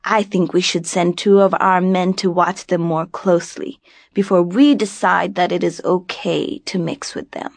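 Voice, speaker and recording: A woman voicing a suspicious, disapproving village neighbor in a folk tale, speaking in a deliberate, pointed way. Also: lowish voice, fairly even intonation, dry recording, pitched below the performer's own voice.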